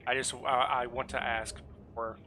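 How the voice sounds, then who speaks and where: Bright-voiced man leading gamers. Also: mid-range voice, level tone, fairly quick, slight background noise. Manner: informal, self-deprecating, organizing. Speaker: streamer and group leader. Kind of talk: casual gaming group voice chat.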